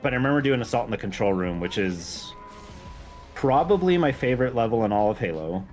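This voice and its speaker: A man's voice: mid-range voice, sing-song, fairly quick, a little mellow, faint music behind. A man talking casually and enthusiastically on a gaming stream, explaining game details in a rambling way. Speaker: game mod creator guesting on a stream.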